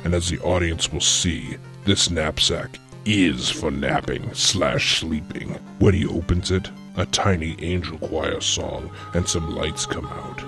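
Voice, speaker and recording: Deep, gravelly male story narrator. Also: slow pace, music in the background. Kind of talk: comedic scripted story narration.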